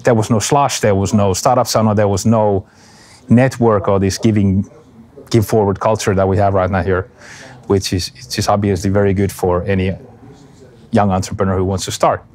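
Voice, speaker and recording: A man's voice: lowish voice, level tone, moderate pace, a little mellow. A middle-aged man from Finland, reflecting on the startup scene in an interview. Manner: reflective, explanatory.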